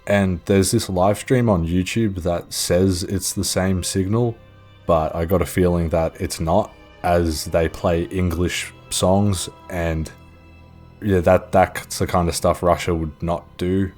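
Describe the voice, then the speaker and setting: A man's voice: low voice, fairly even intonation, takes it slowly, darkish tone. A man narrating an explainer in a casual, informal and offhand way. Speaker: video host and narrator. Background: music.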